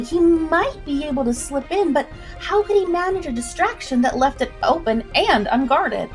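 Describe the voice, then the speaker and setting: Woman reading an adventure story, higher voice, suspenseful n expressive, soft music in the background. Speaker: storyteller, livestream host. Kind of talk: dramatic scripted story narration. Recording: music behind the voice.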